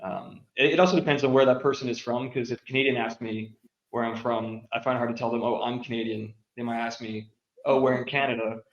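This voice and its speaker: A man's voice: mid-range voice, steady tone, fast talker, roomy sound, lo-fi sound. A young man speaking as a podcast guest, hesitant and casual. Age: young adult. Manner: hesitant, many ums and restarts. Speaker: guest, international school graduate.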